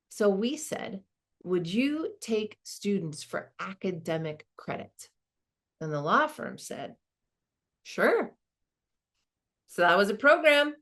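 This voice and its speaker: A woman playing a slow-paced, reflective woman law dean. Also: mid-range voice, exaggerated voice. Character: warm, modest woman law school dean recalling her career. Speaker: performer of a dramatized narrative. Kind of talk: conversational dramatic monologue.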